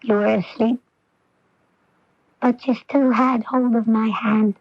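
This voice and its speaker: An actress playing an earnest, intense young daughter in a 1980s radio drama, with deliberate, theatrical phrasing. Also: female voice, on the high side, fairly even intonation, noisy background. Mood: wondering, intense. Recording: dull, dry AM radio recording.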